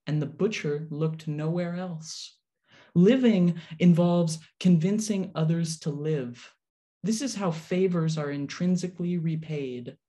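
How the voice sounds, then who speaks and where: A woman poet reading poetry in a measured, deliberate manner over a video call. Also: sits low, warm tone. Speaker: poet reading own work. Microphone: video call microphone.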